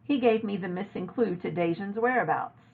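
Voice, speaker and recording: A woman's voice: medium pitch, normal speed, slightly rough. A woman voicing an earnest, emotional young girl who exclaims and pleads, in a storybook read-aloud. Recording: narrow, phone-like lo-fi audio.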